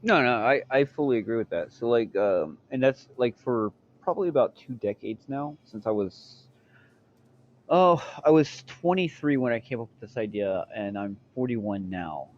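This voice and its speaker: A man in his forties talking in casual voice chat, measured and hesitant but opinionated. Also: medium pitch, animated, dull audio, a little hiss or hum. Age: 40s. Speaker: guest in voice chat.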